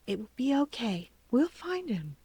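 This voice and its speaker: A woman's voice: fairly high pitch, sing-song, a little slow, warm tone, slightly rough, dry recording, pitched above the performer's own voice, heavily acted. A woman voicing a kind, helpful young man with a reassuring, decisive manner, in a story reading.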